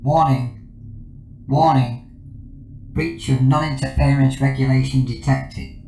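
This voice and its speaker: An automated sci-fi alert system. The delivery is monotone and very measured, with clipped, impassive announcements. It rings in an echoey space. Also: male voice, medium pitch, creaky. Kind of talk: science fiction audio drama. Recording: echoey, music underneath.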